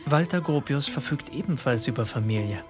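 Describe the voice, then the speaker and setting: An actor playing the observant, measured narrator of a literary radio drama. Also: male voice, mid-range voice, expressive, over music, natural voice.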